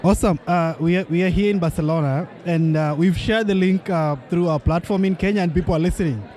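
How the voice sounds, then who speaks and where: A man's voice: sits high, fast talker, dark tone, some chatter behind. A man co-hosting live conference radio in an upbeat, chatty way.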